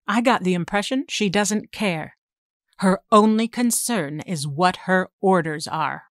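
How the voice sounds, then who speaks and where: An actress playing a forceful, sharp-tongued mutant schemer who poses as a government doctor in an audio drama. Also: female voice, on the low side, unhurried, no echo, clean studio sound, close to an everyday voice. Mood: cool, irritated. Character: commanding, defiant shapeshifting mutant schemer posing as government doctor.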